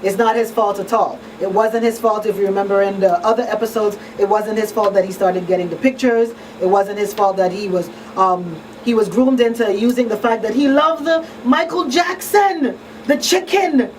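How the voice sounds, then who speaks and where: A woman hosts a talk show in a small studio, explaining conversationally. Her voice sits at a middle pitch. The pickup is somewhat distant, with faint room noise. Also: moderate pace. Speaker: radio show host and crisis counselor. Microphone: studio broadcast mic on boom arm.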